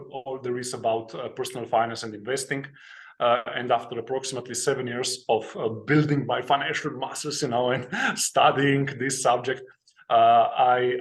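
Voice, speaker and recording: Earnest man, halting speech. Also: medium pitch. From Slovenia. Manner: hesitant, many "uh" fillers, plain and earnest. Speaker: guest, author and investing educator. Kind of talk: interview storytelling.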